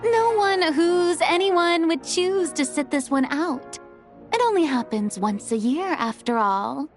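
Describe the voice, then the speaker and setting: High, theatrical, poised young woman director. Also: lively intonation, measured pace. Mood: grand, pleased. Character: confident, refined, theatrical young female film director. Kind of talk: English video game voice acting. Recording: faint music behind the voice.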